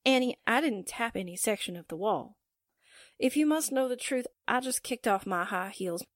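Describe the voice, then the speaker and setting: A woman acting a slangy, grumbling young newspaperwoman sidekick in a 1940s-style radio drama, with comic, drawn-out delivery. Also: a little high, expressive, dry recording, clean studio sound. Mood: wry, complaining.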